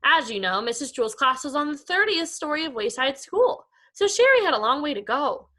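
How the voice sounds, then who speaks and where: A woman with a bright, high voice reads to young children as their teacher. Her delivery is expressive and very melodic, moving freely up and down as she tells the story. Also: brisk. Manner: expressive, addressing young pupils. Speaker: elementary school teacher. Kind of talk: animated children's read-aloud.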